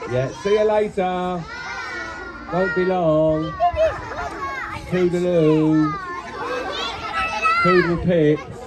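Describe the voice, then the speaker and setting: A man working as a puppeteer at a live children's puppet show. He is loud and playful, and he calls out to the children. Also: high-pitched, steady tone, drawn-out words, pauses often. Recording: loud crowd and noise. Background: loud audience of children.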